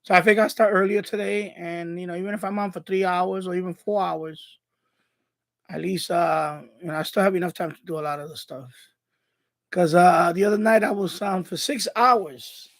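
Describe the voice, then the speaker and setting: A man's voice: sits high, steady tone, normal speed, gravelly, dry recording. A man hosting a hobby live stream, chatting casually in a rambling, repetitive way. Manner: rambling, repeats himself.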